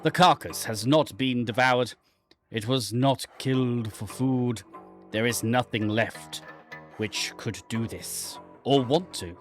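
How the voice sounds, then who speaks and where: A man acts out a haunted, guilt-ridden man alone in the wild, telling his horror game tale in a dramatic, literary way. The voice is medium in pitch, with music underneath. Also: slow, natural voice. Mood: grave. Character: anguished guilt-ridden man fleeing into the wilderness, brooding. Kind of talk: dramatic reading of a horror visual novel.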